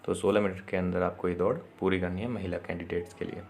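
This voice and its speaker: Low, monotone man explaining step by step. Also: fast, creaky. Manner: instructional, repeatedly checking in with short confirmations. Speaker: presenter of an exam and job information video. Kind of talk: informal explainer, addressing viewers directly.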